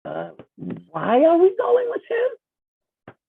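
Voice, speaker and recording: A woman's voice: extremely high pitch, wildly swooping pitch, extremely slow, creaky, heavily acted. A woman playing a doubtful, hesitant hobbit companion in a script table read. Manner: hesitant, questioning. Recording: tinny phone-like call audio.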